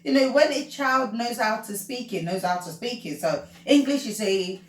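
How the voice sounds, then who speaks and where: A woman's voice: on the high side, normal speed. Young woman host chatting with her dad, lots of questions and laughs, echoey, kinda far from the mic. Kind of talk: casual chat. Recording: roomy, from far off.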